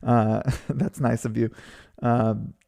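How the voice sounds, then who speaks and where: A man from Hawaii in his thirties hosts a live online session, reading out viewer comments in a loose, rambling way. His voice is gravelly with a dark, mellow tone, and he talks over a computer microphone. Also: medium pitch, flat delivery, slow pace. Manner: rambling, chatty, many fillers and restarts. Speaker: webinar host.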